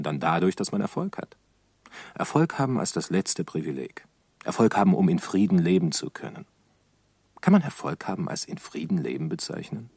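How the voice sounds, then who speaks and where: A man playing a sardonic commentator in a literary radio play, with a gravelly, husky voice. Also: deep voice, a little mellow, no echo, exaggerated voice. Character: sardonic male commentator musing on success. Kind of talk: literary radio play monologue, reflective and essayistic.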